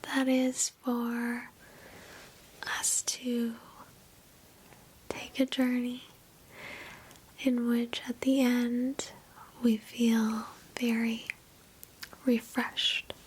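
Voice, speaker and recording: A woman whispers a guided meditation in ASMR style, softly and breathily, with a gentle, soothing, reassuring manner. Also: fairly high pitch, flat delivery, very slow, long pauses, a little hiss or hum, poor audio. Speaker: ASMR host guiding a meditation. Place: indoors, sitting on a bed.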